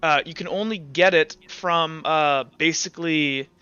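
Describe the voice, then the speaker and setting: Bright, clear-voiced man running a game. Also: a little high, dry recording. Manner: halting, backtracking explanations. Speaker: game master. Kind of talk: improvised narration of a tabletop game. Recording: slightly dull audio with music.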